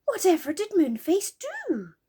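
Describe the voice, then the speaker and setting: A woman's voice: very high-pitched, huge pitch swings, a little slow, dark tone, pitched above the performer's own voice, full character voice. Woman as an astonished, exclaiming storybook child. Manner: exclaiming, emphatic. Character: astonished, earnest children exploring an enchanted wood.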